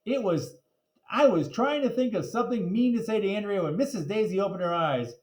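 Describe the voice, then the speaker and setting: A man's voice: high-pitched, expressive, brisk. A man reading a children's storybook aloud, with casual asides and simple explanations for young listeners. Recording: echoey, roomy sound.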